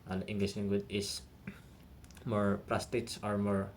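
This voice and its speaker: A young man with an Indonesian accent explains a study topic in a hesitant, filler-heavy way. His voice sits fairly low. A faint hiss runs under the recording. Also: little pitch movement, measured pace. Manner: hesitant, many "uh" and "nah" fillers.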